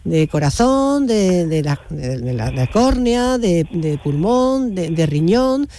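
A woman's voice: on the low side, very animated, slow, warm tone, a little hiss or hum. A woman hosting a casual radio interview, asking questions in an informal, hesitant way. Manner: inquisitive, informal, hesitant phrasing. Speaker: radio host. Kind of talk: casual live phone interview.

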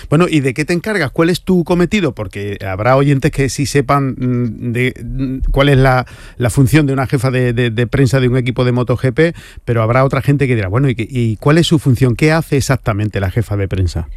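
A male radio host asks questions in a friendly, conversational manner and keeps agreeing with his guest. His mid-pitched voice sounds dry and close on a studio mic. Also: brisk, dry recording. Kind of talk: radio interview.

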